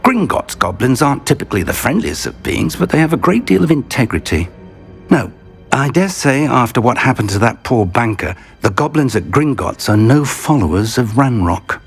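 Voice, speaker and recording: An actor playing an elderly, cautious and kindly British wizard professor, speaking in measured, formal phrases in a video game. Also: male voice, mid-range voice, big pitch swings, gravelly, husky, faint music behind, heavily acted. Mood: firm. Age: older. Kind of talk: video game cutscene acting.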